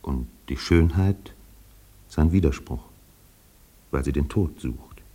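An actor playing the narrator of a literary radio play, telling it in a measured, poetic and solemn manner. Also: male voice, very deep voice, a little mellow.

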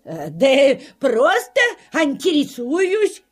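A woman's voice: high voice, huge pitch swings, extremely slow, a little mellow, exaggerated voice. An actress playing a sly, fussy old witch with rustic, exclaiming speech in a children's audio play. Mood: astonished, indignant. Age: older.

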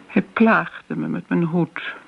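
Creaky, fussy old lady. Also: fairly low pitch, slow pace, heavily acted. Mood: flustered. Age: older. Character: elderly woman, fussy, wistful, self-justifying old family friend. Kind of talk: Dutch radio play acting. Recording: dull, band-limited old radio recording.

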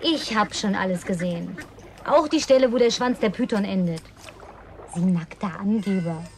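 An actress playing a bossy, playful, high-spirited woman in a German radio play, with a commanding, teasing manner. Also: animated, moderate pace, a little hiss or hum, natural voice.

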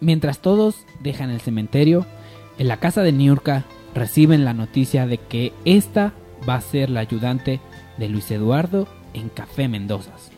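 A man's voice: medium pitch, lively intonation, normal speed, mellow, soft background music. A man narrating a scripted amateur radio soap opera as its storyteller.

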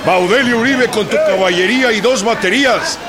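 Man acting fiery Mexican general, high-pitched, commanding, loud battlefield crowd behind, radio play. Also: brisk, natural voice. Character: commanding, hot-tempered revolutionary general in battle.

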